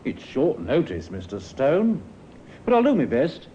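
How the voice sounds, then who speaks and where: A man's voice: fairly high pitch, wildly swooping pitch, unhurried, warm tone. An actor playing a deferential, obliging male landlord in a 1950s radio drama. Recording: muffled, noisy old radio recording.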